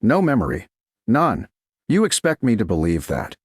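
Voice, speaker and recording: Man voicing a stern, wounded duke, low voice. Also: huge pitch swings, moderate pace, breathy edge, dry recording, full character voice. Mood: impatient, disbelieving. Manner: terse, sharp, demanding.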